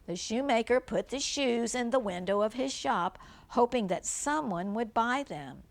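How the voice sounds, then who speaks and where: Older woman reading a storybook, slow and expressive. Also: on the high side, creaky. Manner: simple explanations, gentle questions to a young listener. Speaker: grandmother reading a storybook to children. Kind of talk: read-aloud children's storybook with chatty asides.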